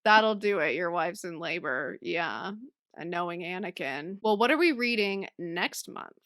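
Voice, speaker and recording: A woman's voice: lowish voice, animated, a little slow, a bit bright, smooth, dry recording, clean studio sound. A woman co-hosting a podcast in a casual, chatty way, often laughing through her words. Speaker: podcast co-host. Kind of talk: casual book-club chat.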